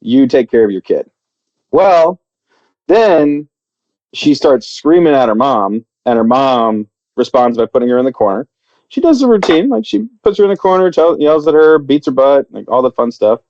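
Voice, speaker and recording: A man spins a long, meandering story on a podcast, circling back with fillers and half-finished starts. His voice is mellow and dark. The sound is dry and a little muffled. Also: medium pitch, very animated, normal speed, no room sound. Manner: rambling, many fillers and restarts. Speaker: podcast co-host. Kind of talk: casual storytelling chat.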